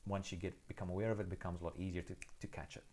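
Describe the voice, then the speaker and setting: Low-voiced man hosting a live Q&A. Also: little pitch movement, racing, darkish tone. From South Africa. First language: Afrikaans. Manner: thoughtful, hesitant, many fillers and restarts. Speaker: livestream host answering viewer questions. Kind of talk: casual live Q&A. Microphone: phone.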